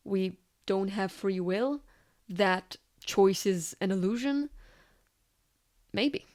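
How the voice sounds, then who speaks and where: Reflective woman narrating a video essay. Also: mid-range voice. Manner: reflective, rhetorical questioning.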